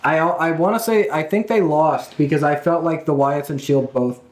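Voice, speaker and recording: A man's voice: a little high, normal speed, darkish tone. A man co-hosts a podcast, recounting things in a loose, conversational way. His voice has an audible room echo around it. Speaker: podcast co-host. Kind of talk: casual chat, recounting an event. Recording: echoey room.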